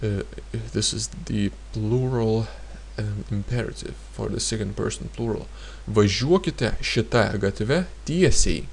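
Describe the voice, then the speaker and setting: Guy teaching grammar, really slow, stops a lot to think, says examples twice, bit gravelly. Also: lowish voice, a little hiss or hum. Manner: hesitant, many filler pauses, repeats example sentences. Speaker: language teacher. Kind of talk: explanatory grammar lesson.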